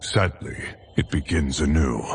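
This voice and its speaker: Deep, grave thunder god. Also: male voice, slight background noise. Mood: grave, regretful. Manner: solemn, measured. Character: grave, weary thunder god, ancient protector. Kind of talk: dramatic video game cutscene acting.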